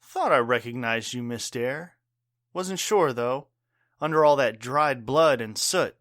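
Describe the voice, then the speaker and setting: A man playing a caring, gruff bartender father and war veteran in an audio drama, earnest, wry and plain-spoken. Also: medium pitch, lively intonation, a little slow, a bit bright, no echo, studio quality. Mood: guarded.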